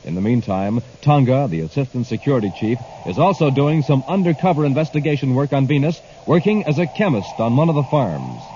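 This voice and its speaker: A man's voice: mid-range voice, big pitch swings, brisk, few pauses, mellow. A man announcing and narrating in an emphatic, dramatic fifties radio style. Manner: emphatic, theatrical. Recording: noisy muffled old radio recording.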